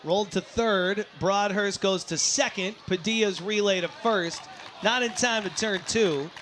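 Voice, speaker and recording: A man calling a baseball game live as play-by-play announcer, excitable and given to shouting on big plays. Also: sits high, a little slow, a little hiss or hum. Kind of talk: live sports commentary. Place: outdoor baseball ballpark.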